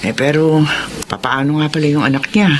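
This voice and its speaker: A woman's voice: low-pitched, steady tone, brisk, a little hiss or hum, close to an everyday voice. A woman with a Filipino accent playing a doting, practical older mother in a radio drama. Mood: concerned, accepting.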